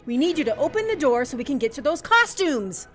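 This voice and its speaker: Lady doing a bossy unsympathetic teacher, high swooping voice, audio drama, faint music. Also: sing-song, brisk. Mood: stern, exasperated. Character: stern, unsympathetic female drama teacher Miss Kelly.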